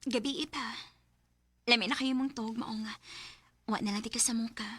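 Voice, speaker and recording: A woman's voice: fairly high pitch, steady tone, normal speed, a bit bright. A woman with a Cebuano Filipino accent playing an emotional, stressed young wife in a radio drama. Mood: soft, tender.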